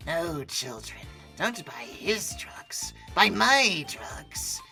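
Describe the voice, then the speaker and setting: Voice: mid-range voice, big pitch swings, drawn-out words, vocal fry, over music, pitched below the performer's own voice, heavily acted. A video narrator voicing a smug, sly rival peddler who draws words out insinuatingly.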